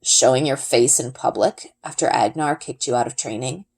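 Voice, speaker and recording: A woman's voice: very deep voice, moderate pace, a little mellow, slightly rough, voice pushed down, full character voice. A woman voicing a scornful, mocking boy rival in a children's storybook. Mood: sneering. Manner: mocking, dismissive.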